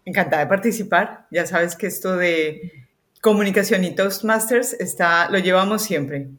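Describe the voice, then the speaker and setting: A woman with a Colombian accent, a communication coach, talking through her work in a relaxed podcast interview with the odd hesitant filler. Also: fairly low pitch, normal speed. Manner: articulate, explanatory, with hesitant fillers.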